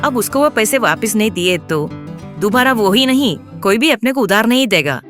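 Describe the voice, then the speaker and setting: A woman's voice: a little high, expressive, moderate pace, a bit bright. Woman acting as nagging wife, insistent, worried, complaining, cartoon story, faint music underneath. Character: worried, nagging wife of a poor tea seller. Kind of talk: acted dialogue in a Hindi animated moral story.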